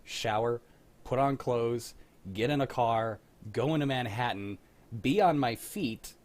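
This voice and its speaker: A man's voice: medium pitch, a little slow. A man in his thirties, hosting and chatting casually on a livestream call, telling stories with laughs. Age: 30s.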